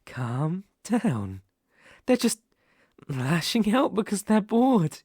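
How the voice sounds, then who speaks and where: A man's voice: high voice, big pitch swings, takes it slowly, darkish tone, breathy edge, no echo, full character voice. A British man playing an obsessive, possessive young kidnapper in an intimate ASMR roleplay, coaxing and menacing in a condescending way, close on the microphone. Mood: anxious, self-soothing.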